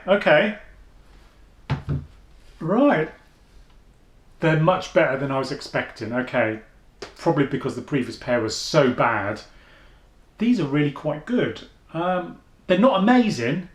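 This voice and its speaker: A man, chatting through a casual headphone review at home and thinking aloud as he goes. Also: reverberant. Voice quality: deep voice. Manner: chatty, thinking aloud, with frequent restarts and fillers. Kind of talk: casual product review vlog. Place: at home, indoors.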